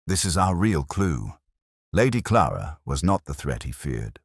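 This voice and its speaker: A man's voice: a bass voice, sing-song, measured pace, breathy edge, dry recording, studio quality, heavily acted. A man voicing a keen, commanding Victorian detective in an audiobook, precise and authoritative. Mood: assured, precise.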